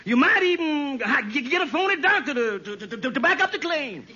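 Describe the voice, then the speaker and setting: A man playing an excitable, shady bachelor in an old radio comedy, emphatic and comically earnest. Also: extremely high pitch, brisk. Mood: eager, conniving. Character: excitable, shady bachelor lawyer full of silly schemes. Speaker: sitcom actor. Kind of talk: 1940s-50s radio comedy acting. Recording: muffled, noisy old radio recording.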